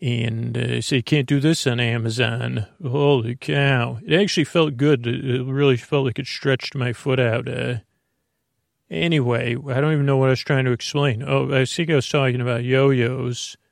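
A man's voice: mid-range voice, steady tone, moderate pace, no echo, clean studio sound. A man hosting a sleep podcast, rambling in a hesitant, meandering way with lots of fillers and restarts. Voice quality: creaky. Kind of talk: rambling bedtime monologue.

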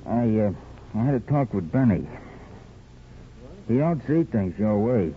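Muffled, low-voiced smug racketeer. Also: male voice, expressive, measured pace. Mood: smug, relaxed. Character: self-assured racketeer relaxing in a barber's chair. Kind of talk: 1950s radio drama acting. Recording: muffled, noisy old radio recording.

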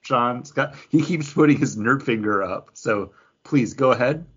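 A man with an average-pitched voice talks as a podcast host reviewing things he likes. He is informal and emphatic, with open enthusiasm in a casual chat style. The audio is a bit dull and lo-fi. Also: a little slow, fairly bright tone, slightly rough.

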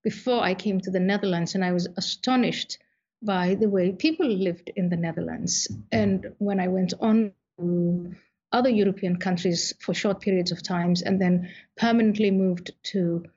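Husky woman from somalia, guest on an interview, talks slow and careful with lots of uh's, kinda muffled lo-fi sound. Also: mid-range voice, fairly even intonation, darkish tone. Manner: measured, thoughtful, with many uh fillers. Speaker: guest author. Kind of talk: interview answers.

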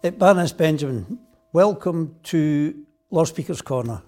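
A man's voice: a little high, expressive, unhurried, warm tone, soft background music. A man hosting an interview, recapping the guest's life story before putting a question to her. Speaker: host.

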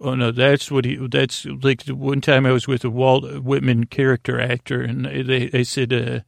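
A man hosting a bedtime podcast in a creaky, mumbling voice, meandering off on tangents. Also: mid-range voice, little pitch movement, moderate pace, no echo. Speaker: podcast host. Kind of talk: rambling bedtime podcast intro.

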